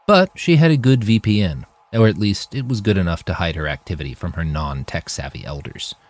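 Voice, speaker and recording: A man reading scripted fiction narration in an expressive, dramatic storytelling manner. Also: low-pitched, brisk, dry recording. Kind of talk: scripted audiobook-style narration of a dystopian war novel.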